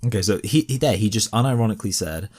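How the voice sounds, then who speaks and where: A very low-pitched man hosts a commentary, pressing his points hard. His speech is full of "uh"s, "like"s and restarts. A slight cough sits in his voice. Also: fast, darkish tone. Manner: emphatic, many fillers and restarts. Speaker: commentary host. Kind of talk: argumentative commentary on a video.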